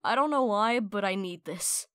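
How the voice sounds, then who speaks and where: A woman's voice: medium pitch, lively intonation, moderate pace, a bit bright. Woman casually reading out fan messages. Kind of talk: casual comic dub reading.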